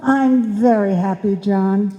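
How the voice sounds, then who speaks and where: Casting: female actor as sentimental, self-sacrificing wife for old-time radio comedy, soft dark tone, muffled live hall recording. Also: mid-range voice, takes it slowly, close to an everyday voice. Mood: reassuring. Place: indoor hall stage, live performance. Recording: dull sound in a reverberant hall.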